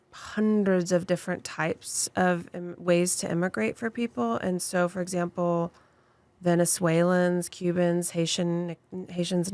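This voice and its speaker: A woman's voice: on the low side, dry recording. A woman immigration attorney being interviewed, speaking with passion and emphasis and stacking points into long lists. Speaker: immigration attorney and law firm owner. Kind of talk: interview guest answering questions.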